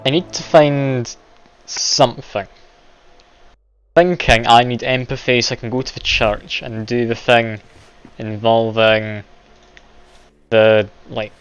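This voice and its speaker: A man's voice: mid-range voice, fairly even intonation, slow, pauses often, a bit bright, clean tone. A man streaming a game live, chatty and self-deprecating, laughing often as he plays. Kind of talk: casual live game commentary. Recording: dull lo-fi stream audio, game music behind.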